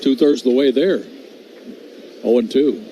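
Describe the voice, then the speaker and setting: A man's voice: medium pitch, unhurried, dark tone. A man giving live baseball commentary and banter on a radio broadcast. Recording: slightly noisy radio broadcast.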